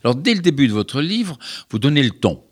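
A man's voice: mid-range voice, sing-song, brisk. A man hosting a radio interview, asking probing questions and murmuring encouragement while his guest speaks. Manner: attentive, many murmured "mhm" backchannels, probing questions. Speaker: radio host.

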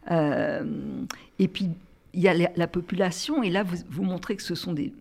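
A woman interviewer discussing a novel with its author, admiring and halting, often repeating words before she lands on a phrase. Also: fairly low pitch, darkish tone. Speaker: literary interviewer. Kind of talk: interview, reading excerpts.

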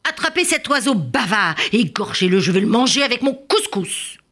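A woman's voice: fairly high pitch, big pitch swings, normal speed, a bit bright, pitched above the performer's own voice. A woman voicing a fat, cruel, greedy king who barks orders at his servant. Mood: commanding. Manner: barking orders.

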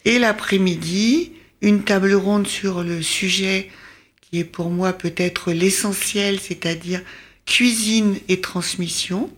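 A woman's voice: mid-range voice, takes it slowly. A woman speaking as an interview guest, presenting an event programme in a conversational radio style.

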